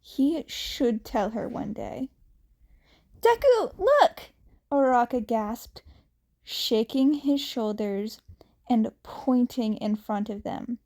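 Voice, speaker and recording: Woman reading fanfic out loud like an audiobook, soft mellow tone, really slow and careful. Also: fairly high pitch. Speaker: reader of fan fiction. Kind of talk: scripted audiobook narration.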